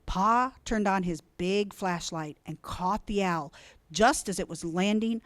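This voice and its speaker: A woman reading a children's storybook aloud in an expressive, deliberate, dramatic way. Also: mid-range voice, no echo. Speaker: storybook reader.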